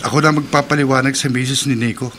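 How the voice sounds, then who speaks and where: An actor playing a firm, reassuring friend in a radio drama. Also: male voice, mid-range voice, fairly even intonation, fast talker, heavy background noise, close to an everyday voice. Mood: firm, consoling. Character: firm, reassuring male friend of a guilt-ridden man.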